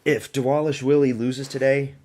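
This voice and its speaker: A man's voice: mid-range voice, little pitch movement, normal speed, a little mellow. A man from the American South hosting a fight watch party stream, talking loosely and profanely with his viewers. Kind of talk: casual live-stream commentary.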